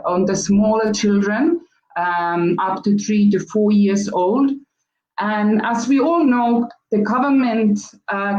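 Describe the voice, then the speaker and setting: A woman from Finland with a Finnish accent, giving a measured webinar talk as a guest speaker on early childhood education. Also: medium pitch, a little mellow, reverberant, muffled recording, a little hiss or hum. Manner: measured, with fillers.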